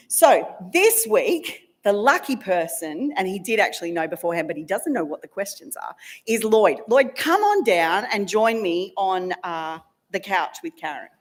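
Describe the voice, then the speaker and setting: A woman's voice: medium pitch, big pitch swings, moderate pace. A woman minister interviewing a church member in a casual, chatty way, laughing easily. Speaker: minister leading worship.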